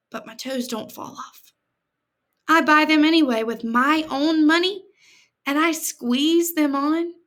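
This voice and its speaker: A woman's voice: high voice, pushed and bright. An American woman reading a picture book aloud expressively, with dramatic emphasis and chatty, informal asides to her listeners. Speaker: read-aloud storyteller, teacher-like host.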